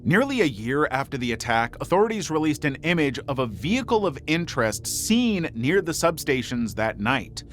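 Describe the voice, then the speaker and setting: A man reading scripted true crime documentary narration in a measured, serious storytelling manner. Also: a little high, big pitch swings, slight background noise. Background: background music.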